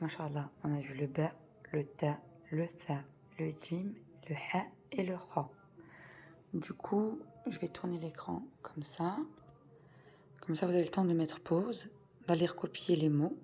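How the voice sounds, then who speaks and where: Woman teaching beginners, low warm voice, slow and careful, repeats every sound. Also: gravelly. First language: French. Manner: slow deliberate spelling-out of sounds, repeats key words. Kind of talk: patient step-by-step reading lesson.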